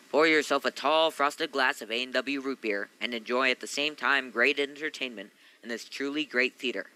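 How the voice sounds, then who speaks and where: A man's voice: medium pitch, bright, forceful tone, low-quality recording. A man playing a polished radio announcer, with formal, promotional delivery in an old 1940s advertising style. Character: polished radio announcer selling a sponsor's drink.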